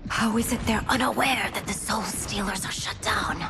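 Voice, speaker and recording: A woman acts out a cunning young warrior princess in a video game cutscene. She speaks formally and with proud self-assurance. Music is clearly heard behind her. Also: medium pitch, normal speed, close to an everyday voice. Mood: composed, firm.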